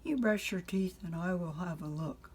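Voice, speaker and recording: A woman voicing a patient but firm father who explains things in a deliberate, matter-of-fact way in a bedtime storybook. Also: on the low side, a little mellow, a little hiss or hum, lightly acted. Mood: reassuring.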